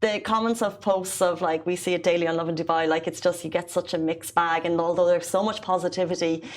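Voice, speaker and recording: A woman's voice: on the low side, steady tone, brisk. A woman hosting an interview, asking casual, encouraging questions with fillers like "like". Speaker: interviewer, host.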